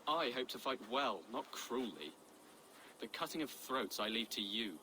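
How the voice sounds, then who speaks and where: An actor playing a gentle, pious young nobleman in a video game, earnest and polite. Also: medium pitch, lively intonation, moderate pace, slight background noise, low-quality recording. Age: young adult. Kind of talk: dramatic video game acting.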